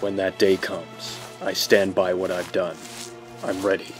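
A man's voice: sits low, level tone, measured pace, over music. A man voicing a grim masked male vigilante who speaks in short, clipped lines in a fan radio drama. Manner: terse, clipped.

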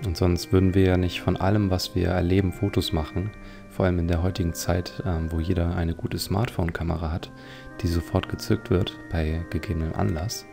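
Deep, gravelly man commenting on a game. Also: level tone, fairly quick, music in the background. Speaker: let's player.

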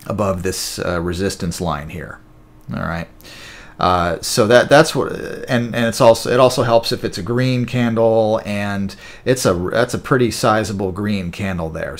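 A man giving an informal tutorial as a trading coach, explaining step by step, repeating key points and checking in with the listener. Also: sits low. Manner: didactic, repeats points, checks in with okay and all right. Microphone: voice-over on a screen recording.

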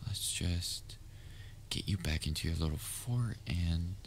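A man's voice: low voice, slow pace, creaky, slight background noise, full character voice. A man playing a patient, sometimes exasperated but caring young babysitter in a roleplay audio drama, talking in a casual, coaxing way. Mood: hushed, relieved. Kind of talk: roleplay audio drama, speaking directly to listener.

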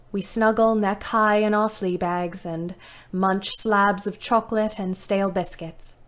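A woman playing a weary young British ambulance driver in her twenties, narrating her war diary in a literary, wry, measured way. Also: medium pitch, natural voice. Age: 20s. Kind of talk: scripted audio drama narration.

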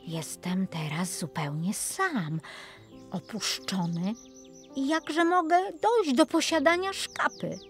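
A woman's voice: mid-range voice, animated, takes it slowly, faint music behind. A woman voicing a naive, simple young miller's apprentice in a fairy tale, plaintive and blunt. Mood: lost, pleading.

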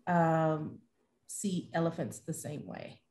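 A woman's voice: low voice, slow, darkish tone, slight background noise. A middle-aged woman hosting a live online panel from a room at home over a video call. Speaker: host, moderator.

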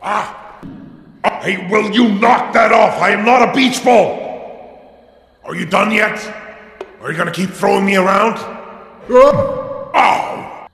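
An actor playing a cranky, centuries-old talking skull in a video game, theatrical, whining and indignant, with a creaky cackle. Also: male voice, sits high, slow pace, pauses often, a bit bright, exaggerated voice. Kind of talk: video game character acting. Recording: roomy echoing reverb, faint noise.

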